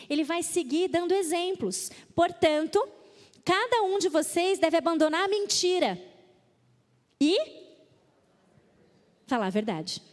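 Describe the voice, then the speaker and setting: A Brazilian woman with a high voice preaches to a women's conference, moving between earnest teaching and playful mock excuses. Her words ring out with the long reverb of a church hall. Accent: Brazilian Portuguese. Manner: lively, reads verses with emphasis, mimics excuses playfully. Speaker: conference speaker. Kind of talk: women's conference talk on scripture. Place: church auditorium.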